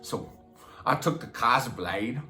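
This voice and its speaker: An actor playing a simple, slow, matter-of-fact rural Southern man who confesses in a halting, plain-spoken, repetitive drawl, as a dramatic film monologue. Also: mid-range voice, lively intonation, bright, forceful tone. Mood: cold, matter-of-fact. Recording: roomy echo, music underneath.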